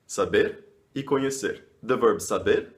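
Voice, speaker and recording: A man's voice: fairly low pitch, normal speed. A man from Brazil, explaining language learning in a friendly, casual talk to camera. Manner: friendly, explanatory, with small laughs. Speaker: language video host.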